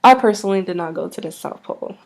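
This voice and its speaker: A soft-spoken woman in an ASMR roleplay, acting as a polite, businesslike job interviewer who explains things a little hesitantly. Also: fairly low pitch, fast, gravelly.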